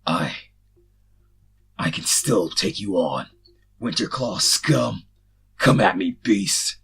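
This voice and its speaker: A man voicing a wounded, hulking warrior with a rough, battle-hardened and defiant voice in an amateur story reading. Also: deep voice, expressive, takes it slowly, a bit bright, heavily acted. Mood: defiant, yelling.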